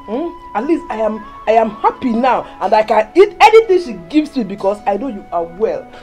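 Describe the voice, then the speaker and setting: A woman's voice: fairly high pitch, very animated, normal speed, mellow, soft background music. An actress playing a doting, pious older village mother in a film drama, emotional and repetitive, with a Nigerian Igbo accent. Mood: tender advice. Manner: emphatic, repetitive.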